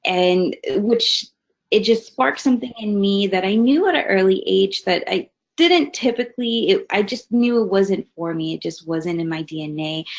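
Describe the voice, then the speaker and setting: A woman's voice: mid-range voice, creaky. Friendly woman presenter in her thirties, from Hawaii, chatty delivery, dull web-call audio. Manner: friendly, conversational with frequent fillers. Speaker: guest presenter. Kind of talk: webinar presentation. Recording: slightly dull webinar audio.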